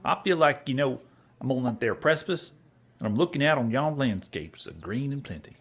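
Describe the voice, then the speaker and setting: An actor playing a simple, sentimental cowboy outlaw in a western comedy audio drama, with a husky rural Western drawl. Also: male voice, medium pitch, expressive, fairly quick, fairly bright tone. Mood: dreamy. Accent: rural American West. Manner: folksy, ungrammatical drawl.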